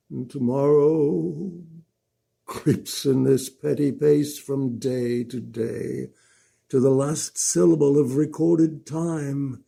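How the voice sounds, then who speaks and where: A man playing a weary, despairing king in a Shakespearean soliloquy, with a gravelly, husky voice and measured delivery. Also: medium pitch, dark tone, heavily acted. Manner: slow, measured. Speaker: amateur actor.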